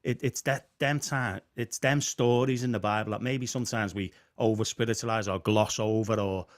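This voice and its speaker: A man's voice: lowish voice, fairly even intonation, normal speed. A man co-hosting a casual chat, conversational and playful, with frequent tag questions like "don't you?" and "right?". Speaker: podcast co-host.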